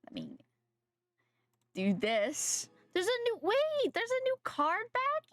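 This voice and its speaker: Nasal young woman gaming on stream, sore throat, slow chatty rambling, bouncy pitch, dry close sound. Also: wildly swooping pitch, fairly bright tone, dry recording. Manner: rambling, self-correcting, chatting to viewers. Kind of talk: casual live-stream chat while gaming.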